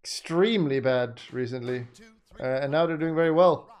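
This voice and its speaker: A man's voice: medium pitch, moderate pace, a little mellow, clean tone, faint music behind. A man chatting casually on a livestream as he reacts to a broadcast, blunt and exasperated, swearing often. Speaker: live streamer reacting to a tournament broadcast. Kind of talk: casual livestream chat and commentary.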